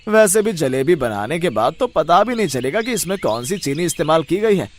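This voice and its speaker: A man's voice: a little high, big pitch swings, brisk, a little hiss or hum. A man voicing a friendly, persuasive grocery shopkeeper in a moral-story cartoon, chummy and coaxing.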